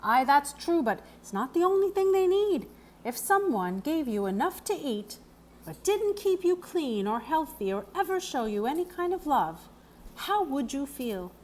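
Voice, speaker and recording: A woman performs a gruff, kindly old countryman from a children's storybook. His talk is plain and rustic, pitched high and swinging widely in melody. Faint noise sits behind the voice. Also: very animated, a little slow, darkish tone. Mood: earnest, reproving. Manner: plainspoken, rustic turns of phrase. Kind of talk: children's novel read aloud, character voice.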